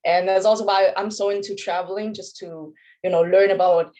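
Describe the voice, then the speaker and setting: A woman's voice: medium pitch, fairly even intonation, brisk, mellow. A young woman from Germany with a German accent, chatting casually as an interview guest and athlete. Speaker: interview guest, competitive bodybuilder.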